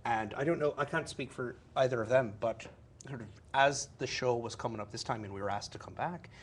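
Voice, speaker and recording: A man's voice: medium pitch, brisk. A man, a stage actor, chatting playfully and expressively in an interview with a put-on Irish accent. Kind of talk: improvised casual interview. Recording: clear background noise, live stream.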